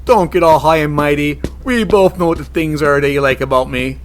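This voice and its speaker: A man's voice: on the high side, a little fast, a little hiss or hum, close to an everyday voice. A man playing a hapless, hot-tempered monster hunter in a comedy-horror audio drama, pleading and exasperated. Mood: firm, protective.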